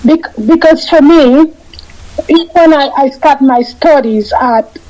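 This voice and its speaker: A woman in her fifties, a guest on a radio interview, talking in a halting way, often repeating and restarting her phrases. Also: high voice, dark tone, low-quality recording. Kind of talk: casual radio interview chat.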